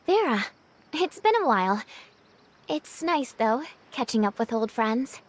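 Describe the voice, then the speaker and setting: A gentle young companion in an anime game. Her voice is high and expressive in pitch, yet composed, unhurried and reassuring. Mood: wistful.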